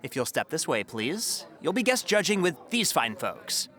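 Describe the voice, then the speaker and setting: A man acts as a male mayor's aide in an audio comedy. His voice is high and lilts widely. He sounds officious, wordy and drily polite. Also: big pitch swings, moderate pace, bright, forceful tone. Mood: officious, dry. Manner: dry, wordy, politely bossy. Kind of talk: comedic audio drama acting.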